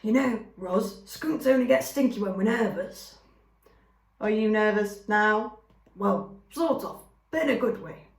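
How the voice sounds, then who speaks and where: A woman voicing a friendly, hospitable female skunk who squeaks with excitement. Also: a little slow. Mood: warm. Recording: roomy echo.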